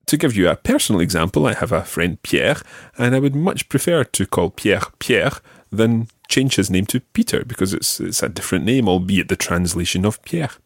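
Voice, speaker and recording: A man hosting a podcast language lesson, explaining patiently and clearly. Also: fairly low pitch, expressive, normal speed, no room sound, clean studio sound. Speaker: language teacher and podcast host.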